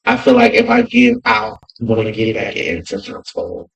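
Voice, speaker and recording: A man's voice: sits high, wildly swooping pitch, normal speed, gravelly. A man talking as a podcast guest in casual chat, emphatic and repeating phrases for effect. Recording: distant from the mic.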